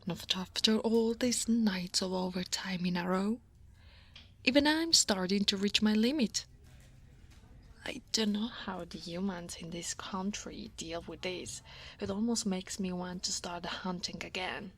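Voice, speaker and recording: Woman playing a breathy, weary dragon coworker. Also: medium pitch, unhurried. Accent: Italian. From Italy. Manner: soft, tired, half-whispered. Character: weary, guarded female dragon office coworker. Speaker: ASMR roleplay performer. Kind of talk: whispered ASMR roleplay.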